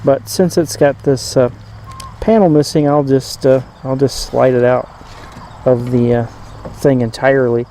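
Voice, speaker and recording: A man with a soft, mellow voice talks through a hands-on demonstration in an unscripted way. He is hesitant and pauses often, rambling a bit. There is clear noise behind him. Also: mid-range voice, steady tone. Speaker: vintage radio reviewer.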